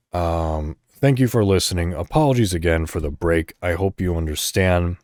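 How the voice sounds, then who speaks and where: A man hosting a podcast solo, chatting casually and thinking out loud in a rambling way. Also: sits low, no room sound, studio quality. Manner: rambling, thinking aloud, mild swearing. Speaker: podcast host.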